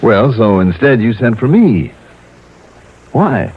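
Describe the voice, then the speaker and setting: An actor playing a calm, shrewd American insurance investigator, asking measured, probing questions in old-time radio drama style. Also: male voice, mid-range voice, husky, full character voice. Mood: challenging. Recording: dull vintage radio recording.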